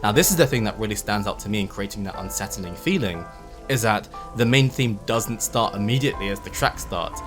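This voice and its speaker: A man narrating a video essay in an explanatory, measured way with emphatic phrasing and casual asides. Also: on the low side, animated. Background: background music.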